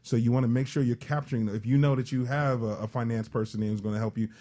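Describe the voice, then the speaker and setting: A man's voice: mid-range voice, fairly even intonation, fast, mellow. A man speaking as a panel guest, explaining in business jargon in a conversational discussion. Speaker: panel guest advising on nonprofit management.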